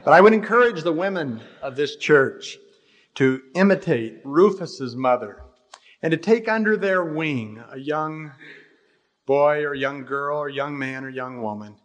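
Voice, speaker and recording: An older American man preaching an expository sermon in a measured, deliberate way, stressing phrases and letting the endings fall away. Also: fairly high pitch, animated. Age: older, about 60. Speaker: pastor. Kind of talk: expository sermon with scripture readings.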